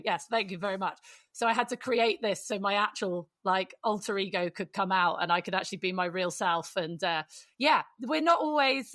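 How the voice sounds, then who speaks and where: A woman's voice: mid-range voice, a bit bright, no room sound, studio quality. A British woman hosting a podcast, chatting casually in an effusive, jokey way. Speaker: podcast host. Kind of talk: casual, bantering podcast chat.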